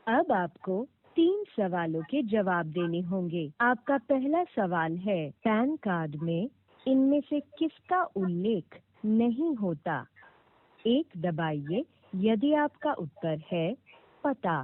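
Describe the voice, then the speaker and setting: A woman reading scripted automated phone prompts with formal, clearly enunciated instructions, heard over a narrow phone line. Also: medium pitch, big pitch swings, slow pace. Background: played back from a recorded call.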